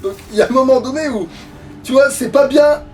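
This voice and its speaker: A man's voice: very high voice, a little fast, a little mellow, slight background noise. A man giving casual, colloquial, sweary let's play commentary as he plays a video game. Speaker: gamer playing a boss fight. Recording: distant mic, game music behind.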